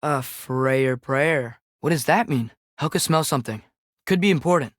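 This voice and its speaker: A man's voice: medium pitch, moderate pace, no echo, clean studio sound, close to an everyday voice. A young male actor voicing an earnest, compassionate teenage boy adventurer in a video game, with an American accent and a questioning, casual way of talking. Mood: curious. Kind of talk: naturalistic video game character acting.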